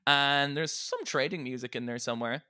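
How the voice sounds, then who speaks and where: A man's voice: mid-range voice, fairly quick, bright, forceful tone, clean tone, no echo, studio quality. A man hosting a role-play podcast, chatting casually with playful theatrical flair and casual swearing. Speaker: podcast host and player in an audio role-play.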